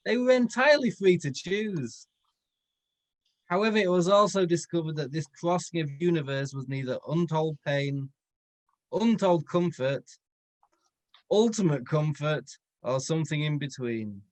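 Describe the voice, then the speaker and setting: A man reading a comic story aloud, expressive, with stumbles and self-corrections along the way. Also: fairly high pitch, measured pace, poor audio. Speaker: comedy performer reading fan fiction aloud.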